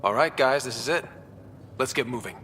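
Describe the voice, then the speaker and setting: An actor playing a young, heroic squad leader in a sci-fi game, speaking in a plainspoken, resolute, natural way. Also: male voice, mid-range voice, fairly quick, fairly bright tone, a little hiss or hum, natural voice. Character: young heroic male space explorer leading his squad. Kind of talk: scripted video game cutscene acting.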